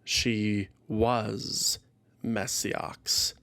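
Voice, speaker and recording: Guy doing slow solemn fantasy story narration, dramatic, takes his time. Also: on the low side, little pitch movement, fairly bright tone. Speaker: audio fiction narrator.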